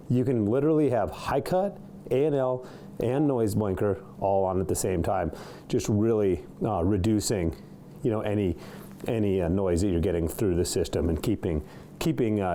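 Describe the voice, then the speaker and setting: Low, mellow man doing a casual product walkthrough. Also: slight background noise. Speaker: product reviewer demonstrating a CB radio. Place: indoor studio.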